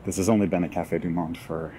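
A man giving a casual walking tour outdoors in a city park, with relaxed, wry asides to viewers. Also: sits low, fast, slight background noise. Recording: faint outdoor ambience.

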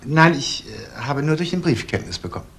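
An actor playing a formal notary in a crime radio play, speaking in a dry, measured, official manner. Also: male voice, medium pitch, big pitch swings, fairly bright tone. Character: formal, precise notary reading out documents.

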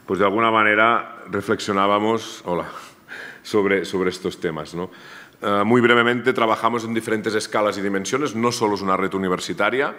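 A man's voice: fairly low pitch, moderate pace, sharp tone. A man with a Catalan accent giving an academic seminar talk in a university room, often restarting and repeating his words. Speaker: academic speaker. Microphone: table microphone, far camera.

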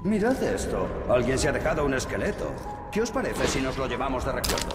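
An actor playing a cheeky soldier who is the squad's joker, mock-respectful and wisecracking. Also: male voice, medium pitch, very animated, fairly quick. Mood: teasing. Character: joking male soldier, cheeky squad clown. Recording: echoey game audio with music.

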